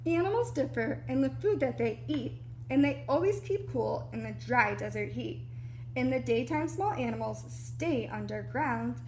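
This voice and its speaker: A woman reading a children's picture book aloud like a preschool teacher, animated and explanatory, with little asides to young listeners. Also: a little high, normal speed, slight background noise, low-quality recording.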